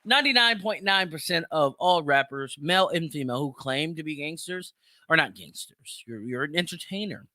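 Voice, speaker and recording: A man's voice: on the high side, pushed and bright, no room sound, clean studio sound. A man hosting a solo opinion show, speaking bluntly and profanely with mocking, emphatic repetition. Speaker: podcast host.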